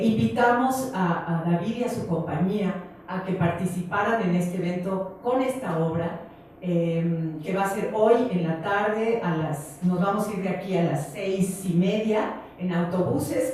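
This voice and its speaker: A woman with a Mexican accent introducing a speaker at a conference in a semi-formal, hesitant way, often pausing mid-phrase. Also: fairly low pitch, darkish tone. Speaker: event moderator introducing a speaker. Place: large echoey conference room. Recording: echoey hall, distant mic, faint noise.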